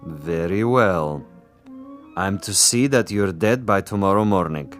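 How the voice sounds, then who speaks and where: An actor playing a suave, boastful assassin commissar in a radio drama, with pompous, precise and silkily polite diction. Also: male voice, sits low, animated, a little slow, faint music behind. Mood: smug, menacing. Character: suave, boastful male assassin commissar, coolly menacing connoisseur.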